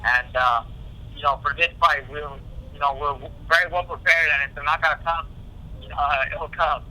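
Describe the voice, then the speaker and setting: A man speaking as a boxer in a casual phone interview, halting and repeating himself, with frequent "you know". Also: on the high side, steady tone, sharp tone. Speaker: professional boxer being interviewed. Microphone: telephone call. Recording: tinny phone line.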